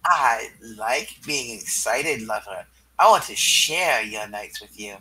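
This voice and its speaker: A man's voice: mid-range voice, expressive, slow, bright, forceful tone, breathy edge, exaggerated voice, low-quality recording. A man voicing a seductive, thrill-seeking female cat burglar in a comic book reading, with a purring, teasing, flirty delivery. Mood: flirty, insistent.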